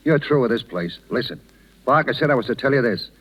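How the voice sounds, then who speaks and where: Blunt, friendly male circus hand, American, slangy, highly animated pitch, muffled old radio recording. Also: a little fast, slightly rough. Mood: insistent. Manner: plain-spoken, slangy. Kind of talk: 1930s radio drama acting.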